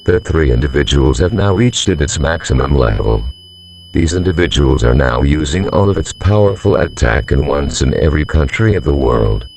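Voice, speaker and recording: A man's voice: a bass voice, expressive, moderate pace, vocal fry, a little mellow, full character voice. A man playing a grave presidential representative who delivers a formal, solemn doomsday broadcast as a scripted emergency announcement. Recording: humming, faintly noisy audio.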